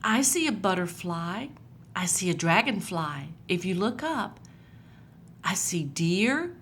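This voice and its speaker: Slow gentle woman reading to kids. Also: lowish voice, big pitch swings, slight background noise. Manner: slow, gentle, pointing out pictures to young listeners. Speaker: children's storyteller. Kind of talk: reading a Bible story to children.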